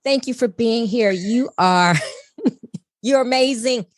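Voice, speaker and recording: A woman's voice: a little high, takes it slowly. A woman hosting a podcast, reading a scripted intro and then chatting in an effusive, upbeat way. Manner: warm and effusive, laughs easily. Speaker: podcast host.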